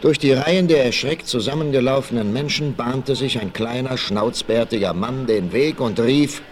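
A man's voice: medium pitch, moderate pace. A man narrating a 1960s radio play as a dramatic, theatrical, suspenseful storyteller. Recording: vinyl record transfer with faint noise.

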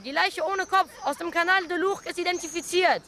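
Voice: very high voice, a little fast, over a noisy crowd. An actor playing a young newspaper seller crying out headlines in a German radio crime drama. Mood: urgent.

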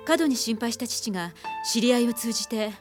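An actress playing a composed, haunted psychiatrist, speaking in a measured, grave way in a Japanese radio drama. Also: female voice, on the high side, faint music behind, close to an everyday voice. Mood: somber, reflective. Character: composed, haunted female psychiatrist telling her dark past.

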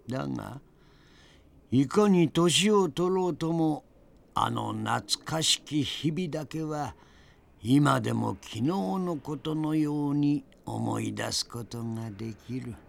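An actor playing a spirited old grandfather in an audio drama, narrating his memories with theatrical, deliberate pauses and a gravelly voice. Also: mid-range voice, fairly bright tone, exaggerated voice. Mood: nostalgic. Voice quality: gravelly, creaky. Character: spirited old grandfather reminiscing fondly about past adventures.

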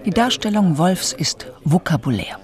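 Higher-voiced man reading literary criticism. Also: big pitch swings, normal speed. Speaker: reader of critics' quotations.

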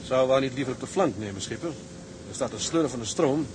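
An actor playing a steady, dutiful ship's first mate in a Dutch radio drama, respectful, businesslike and plain-spoken. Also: male voice, quick pace, lightly acted. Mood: reporting, respectful. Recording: noisy, engine rumble behind.

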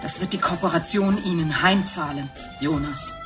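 A woman's voice: fairly low pitch, moderate pace, music in the background, lightly acted. A woman playing a cold, threatening company executive in a radio drama. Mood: icy, threatening.

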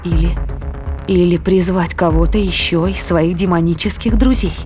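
A low-pitched female voice from an actress plays a combative woman aboard a spaceship in an audio drama. She is blunt and tense, firing out exclamations and questions. Music runs clearly behind her. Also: steady tone, normal speed, a little mellow, lightly acted. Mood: anxious, resolute.

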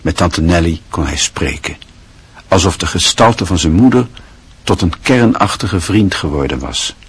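A man narrating a radio play in a calm, measured storytelling manner. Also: low voice, husky.

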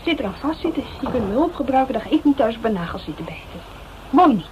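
A woman's voice: on the high side, very animated, fast talker. An actress playing an anxious, loyal young woman in a Dutch radio crime drama, colloquial and questioning. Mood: nervous. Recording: noisy, narrow-band old radio drama recording.

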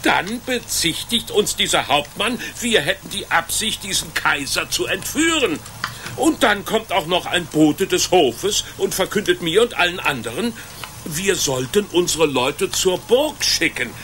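Bright, puzzled medieval blacksmith. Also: male voice, sits high, lively intonation, a little slow, slight background noise, full character voice. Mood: bemused. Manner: hesitant, grumbling, folksy. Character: simple, puzzled, good-natured medieval blacksmith. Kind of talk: German radio play acting.

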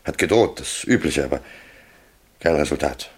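A laconic male crime syndicate middleman in a radio drama. His voice is extremely low, and he reports tersely, cool and businesslike. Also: animated, moderate pace, husky, heavily acted. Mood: cold, matter-of-fact.

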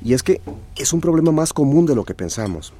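A man playing an earnest journalist and boyfriend in a radio drama, with a measured manner. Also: a little high, very animated, mellow, a little hiss or hum.

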